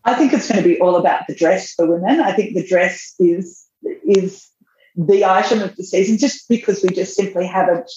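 A woman's voice: mid-range voice, normal speed, warm tone. Chatty woman on a roomy line. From Australia. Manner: chatty, laughs often, many restarts. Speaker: magazine editor, guest. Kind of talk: casual podcast interview. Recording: roomy, dull remote audio.

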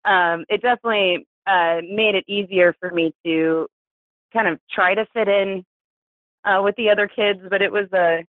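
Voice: mid-range voice, steady tone, normal speed, no room sound. An American in their thirties from New Mexico, telling personal stories as an interview guest in a candid, hesitant way. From New Mexico, United States. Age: 30s. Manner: hesitant, chuckles through hard subjects. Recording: tinny phone-like radio sound.

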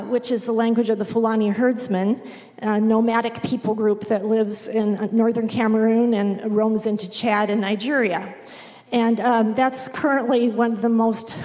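A woman giving an unhurried missionary report to a congregation, pausing often with "um". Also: a little high, a little mellow. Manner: unhurried, with frequent "um" pauses. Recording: long reverb of a large hall.

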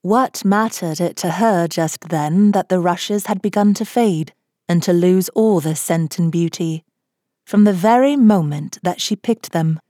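Female storybook reader, lowish and mellow, taking her time. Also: no room sound, clean studio sound. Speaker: audiobook reader. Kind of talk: gentle storybook narration.

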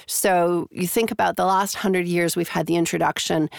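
Middle-aged woman, Canadian accent, gravelly, dry sound, interview guest. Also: on the low side, steady tone, normal speed, no echo. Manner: thoughtful, many fillers and restarts. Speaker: psychology professor and guest. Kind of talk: interview answers.

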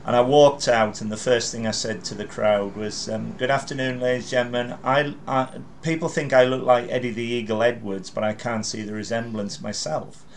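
A man's voice: medium pitch, little pitch movement, brisk, vocal fry, few pauses, a little hiss or hum. A man in his fifties hosting an interview podcast, telling stories in a rambling way with stammered restarts. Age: 50s. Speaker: podcast host. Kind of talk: casual interview chat.

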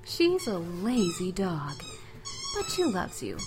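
A woman's voice: medium pitch, wildly swooping pitch, takes it slowly. A woman acting in an audio drama as a protective, teasing mother who fusses affectionately and speaks bluntly. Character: protective, teasing mother of a blind college student.

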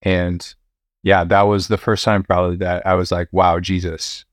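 A young man, a priest, telling his story in a relaxed interview, with fillers and restarts. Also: low-pitched, moderate pace, no room sound, studio quality. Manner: relaxed, many fillers and restarts, occasional laughs. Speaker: priest and university chaplain.

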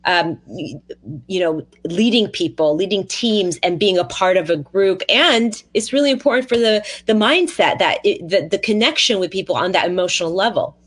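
A woman's voice: mid-range voice, lively intonation, moderate pace. A woman hosting a podcast in a casual, conversational, enthusiastic and affirming way. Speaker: podcast host.

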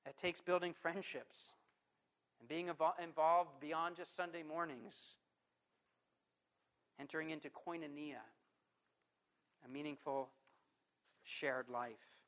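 A man's voice: fairly high pitch, steady tone, slow pace, pauses often. Emphatic preaching man. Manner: emphatic preaching with rhetorical questions; loudness swings from forceful to hushed. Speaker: pastor. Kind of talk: sermon.

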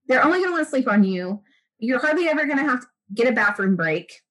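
A woman's voice: on the high side, sing-song, fast talker, fairly bright tone. A woman speaking as a guest in a casual interview, earnest and chatty with many fillers. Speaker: guest, breastfeeding expert.

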